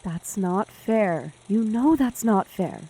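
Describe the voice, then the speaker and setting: A woman's voice: medium pitch, animated, slow pace, mellow, slightly rough, heavily acted, poor audio. A woman playing a loyal, insistent young friend in an audio drama, speaking in a pleading, supportive way.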